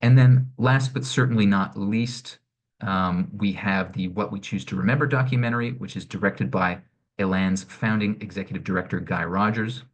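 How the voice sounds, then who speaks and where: A man presenting arts programs in an online info session, measured and explanatory, with frequent fillers, speaking into a video-call mic. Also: lowish voice, fairly even intonation. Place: home or office, online session. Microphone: computer mic on a video call.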